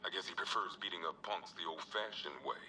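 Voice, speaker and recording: An actor playing a gruff, world-weary American police officer, logging evidence for a video game in a terse, streetwise way with dry, wry asides. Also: male voice, deep voice, a little fast, sharp tone, recorded from a distance, slight background noise. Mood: dry, matter-of-fact. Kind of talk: scripted video game evidence log narration.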